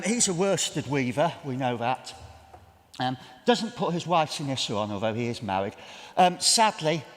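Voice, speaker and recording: A middle-aged English man talks through his slides in a relaxed, self-mocking way, often filling pauses with ums. His voice sits at an ordinary pitch. It rings out with the long reverb of a big church, heard from a distance. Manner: chatty, self-deprecating, with frequent ums. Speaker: historian giving a public talk. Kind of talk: public lecture with slides. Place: large church with a seated audience.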